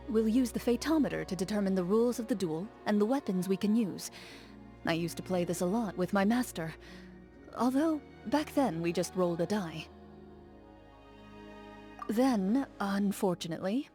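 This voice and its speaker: An actress playing a stoic young woman duelist, speaking in a calm, measured, formal and reserved way. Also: medium pitch, natural voice. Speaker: game character. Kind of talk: game character voice lines.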